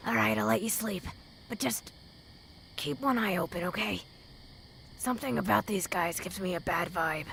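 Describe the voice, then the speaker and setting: A woman playing a wary, sardonic wasteland traveler in an audio drama, dry and blunt, with a gravelly voice. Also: medium pitch, normal speed, long pauses, a bit bright, slight background noise. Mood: hushed, wary. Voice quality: gravelly, creaky. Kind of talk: fan audio drama acting.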